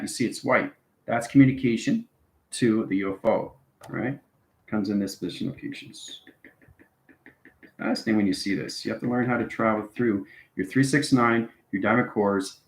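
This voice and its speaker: The room rings noticeably around this man's fairly low voice. He teaches viewers informally, as if demonstrating step by step. His phrases come in fragments thick with esoteric jargon, punctuated by brief check-ins. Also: gravelly. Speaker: online spiritual teacher. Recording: roomy, echoing sound.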